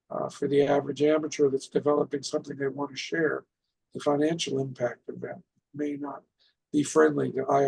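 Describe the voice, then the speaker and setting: A middle-aged man presents informally in a fairly high-pitched voice with a lot of gravelly creak. He explains things step by step and often puts questions to his listeners. The tone is husky and rough-edged. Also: darkish tone, low-quality recording. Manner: poses rhetorical questions. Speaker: presenter of an amateur radio club session.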